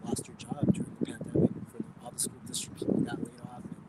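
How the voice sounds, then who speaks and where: A man in his thirties from the Philippines, raised in California, chatting casually in an outdoor interview, relaxed and rambling. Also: fast. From Philippines, raised in Oxnard, California. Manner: relaxed, rambling, with many fillers and restarts. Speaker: interview guest. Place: outdoors by a bay, traffic nearby. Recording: loud outdoor street noise.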